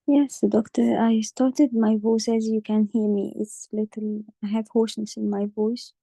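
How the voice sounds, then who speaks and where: A woman playing a hoarse forty-nine-year-old patient with a croaky voice, speaking haltingly with many pauses and restarts. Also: a little high, little pitch movement, dark tone, dry recording. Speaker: simulated patient in exam practice.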